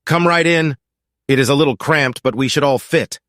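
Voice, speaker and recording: A man voicing a tense, commanding security operative who is trying to keep people calm in an audiobook story. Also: fairly high pitch, moderate pace, dry recording, clean studio sound, voice pushed up, natural voice. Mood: urgent, calling out. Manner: urgent, commanding. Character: tense male security operative, gruff, urgent, forcing calm.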